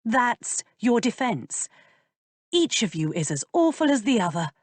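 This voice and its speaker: A woman voicing a quick-tempered, sharp-tongued young lady who speaks in a tart, exasperated way. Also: a little high, sing-song, no room sound, pitched above the performer's own voice. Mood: indignant.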